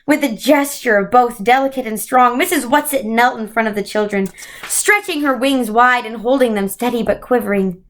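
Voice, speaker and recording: A woman's voice: fairly high pitch, normal speed. A woman reading a children's book aloud, now and then stumbling over words and correcting herself. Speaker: story reader.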